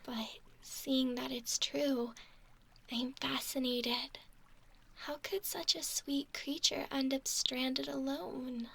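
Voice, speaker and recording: A gentle young mermaid played by a woman coos in a soft, breathy ASMR whisper. Her voice sits fairly high and drifts into creaky fry. She speaks very slowly, doting and soothing. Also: steady tone, heavily acted, low-quality recording. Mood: fascinated, adoring. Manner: slow, soothing, cooing whisper and soft speech.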